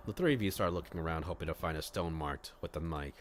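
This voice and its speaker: A man's voice: rumbling low, fast talker, a little hiss or hum. A man streaming a read-aloud of a visual novel, narrating it as a storyteller. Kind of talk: storytelling narration with acted dialogue.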